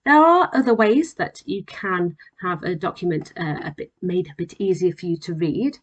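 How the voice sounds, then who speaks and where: Lower-voiced woman giving a software demonstration. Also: animated, normal speed, slightly rough, highs cut off. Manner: plain, step-by-step explaining. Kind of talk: instructional screen demonstration. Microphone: voice-over on a screen recording.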